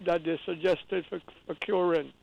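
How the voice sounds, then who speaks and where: High, gravelly caller from Guyana. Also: male voice, fairly even intonation, moderate pace, darkish tone, no room sound, low-quality recording. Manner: earnest, explanatory, with repeated restarts. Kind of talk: call-in radio explanation.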